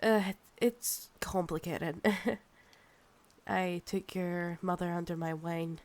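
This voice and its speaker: A woman's voice: on the low side, steady tone, darkish tone. A woman with a Scottish accent playing a possessive, proud, seductive ancient vampire in an intimate ASMR roleplay, teasing and condescending. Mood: calm, firm. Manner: slow, teasing, condescending, calls listener darling.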